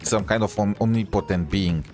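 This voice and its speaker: A man's voice: fairly low pitch, normal speed, music in the background. A man streaming a game, giving casual reaction commentary.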